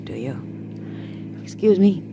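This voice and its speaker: An actress playing a seductive, forward party comrade in a 1950s radio drama, with a coy, flirtatious manner. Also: female voice, very animated, takes it slowly, creaky, muffled recording, exaggerated voice. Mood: wistful, seductive. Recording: noisy 1950s radio recording, background rumble.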